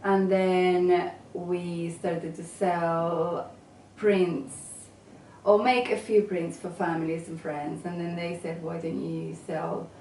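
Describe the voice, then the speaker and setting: Woman guest, low mellow voice, hesitant and chatty, distant mic in a roomy space. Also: little pitch movement, takes it slowly. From England and Venezuela. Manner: hesitant, with many fillers and restarts. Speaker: guest, graphic designer. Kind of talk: casual interview answers. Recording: echoey room, mic at a distance, faint noise.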